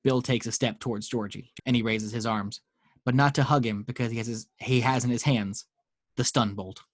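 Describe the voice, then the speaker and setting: A man's voice: mid-range voice, fairly even intonation, normal speed, dry recording, clean studio sound. A man reading action descriptions as narrator in a dramatic screenplay table read. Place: at home.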